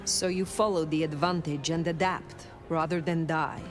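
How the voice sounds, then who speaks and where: An actress voicing a composed, formal elder female vampire investigator in a video game, measured and reserved. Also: deep voice, steady tone, over music, lightly acted. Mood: inquiring.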